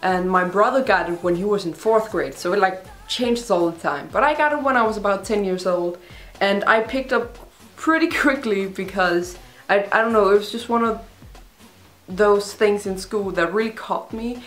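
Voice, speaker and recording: A woman's voice: mid-range voice, moderate pace. A young woman from Denmark, a native Danish speaker with a Danish accent, answering viewer questions in a chatty, rambling, self-deprecating vlog. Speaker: vlogger. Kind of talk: casual Q&A vlog. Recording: roomy echo, faint music behind.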